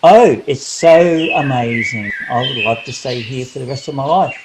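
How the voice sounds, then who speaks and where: A man's voice: mid-range voice, normal speed, background noise, natural voice. A man voicing an earnest, emotional young heroine in a storybook, with exclamatory delivery. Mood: delighted. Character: young girl Karen, emotional and wide-eyed storybook heroine.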